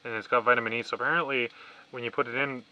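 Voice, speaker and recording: A man's voice: medium pitch, steady tone, rapid-fire, highs cut off. A young man chatting casually as a vlogger inside a van. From Canada. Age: 20s to early 30s. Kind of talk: casual chat. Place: inside a small camper van, parked. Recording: background noise in a van.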